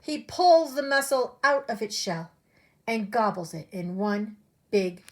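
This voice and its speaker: Female preschool storyteller, fairly high voice, expressive and direct, speaking simply to little kids. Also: a little slow, slight background noise. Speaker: preschool teacher reading a storybook. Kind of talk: read-aloud storytelling for young children.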